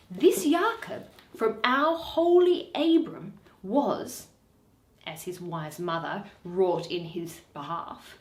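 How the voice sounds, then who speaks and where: A shrewd, wary moneylender with a grievance, acted by a woman in a Shakespeare play. The voice sits fairly high and swings widely in pitch, each stress placed with theatrical care. The room adds a clear echo. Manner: emphatic, deliberate, theatrical delivery with swooping stresses. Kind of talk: dramatic Shakespeare reading.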